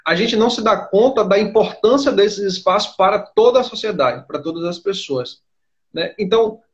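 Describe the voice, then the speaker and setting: A man's voice: high-pitched, moderate pace, highs cut off. A man hosting a live online interview, framing his questions hesitantly with many fillers and restarts. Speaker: host.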